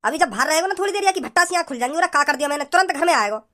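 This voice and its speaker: Irate cartoon village dad, shrill pitch, fast delivery, Braj accent, scolding and dismissive. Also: lively intonation, bright, forceful tone. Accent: Braj, western Uttar Pradesh. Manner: gruff, dismissive, nagging repetition. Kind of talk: comedy cartoon dialogue.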